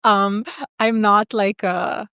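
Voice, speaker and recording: A young woman guesting on a podcast, telling candid stories in a lively, chatty way, full of "like" and "um". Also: mid-range voice, slow pace, smooth, no room sound, studio quality. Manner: candid, animated storyteller, lots of "like" and "um". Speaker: guest, agency founder. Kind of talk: casual interview chat.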